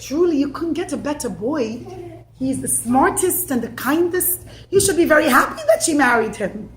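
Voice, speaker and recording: A woman voicing a wise elderly rabbi who speaks in a calm, reassuring way. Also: high-pitched, normal speed, echoey room, slight background noise, rough recording.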